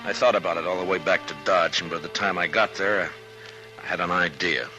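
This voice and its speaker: Guy acting a low deep american marshal, stern n weary, old radio western with music. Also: muffled recording, natural voice. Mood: grave, reflective. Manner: firm, plain-spoken, measured. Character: stern, weary frontier marshal, a steady grown man. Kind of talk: 1950s radio western acting, with first-person narration.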